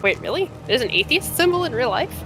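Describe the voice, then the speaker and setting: A woman's voice: high voice, sing-song, fast, music in the background. A woman streamer giving casual game commentary in a joking, self-deprecating way.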